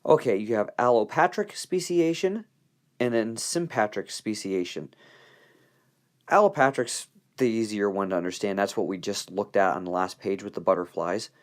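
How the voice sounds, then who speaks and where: A man's voice: on the low side, moderate pace. A man teaching biology in an informal recorded lecture, talking in a conversational, folksy way with joking asides.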